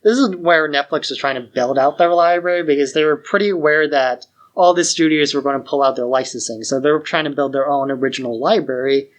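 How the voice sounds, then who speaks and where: A man's voice: a little high, brisk, hardly any pauses, rough recording. A man co-hosting a podcast in casual, conversational discussion. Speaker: podcast co-host.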